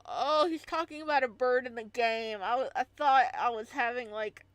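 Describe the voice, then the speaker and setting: A woman's voice: on the high side, normal speed, a bit bright, clean tone, no echo. A woman live streamer chatting casually as she reads a visual novel, with giggly, playful asides. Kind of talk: casual livestream chat while reading a visual novel aloud.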